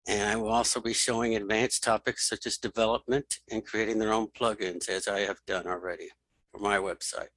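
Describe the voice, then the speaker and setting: A middle-aged man hosting a screen reader software tutorial, informally thinking aloud and repeating key steps. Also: on the low side, flat delivery, brisk, no echo, rough recording. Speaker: tutorial host and web developer.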